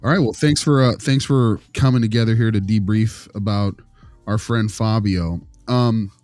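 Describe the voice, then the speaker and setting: A man playing a friendly, tactful school consultant in a role-play, halting and often rephrasing his questions. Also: fairly low pitch, fairly even intonation, darkish tone, dry recording, soft background music, lightly acted. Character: friendly, tactful male school behavior consultant probing gently. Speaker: visiting behavior consultant.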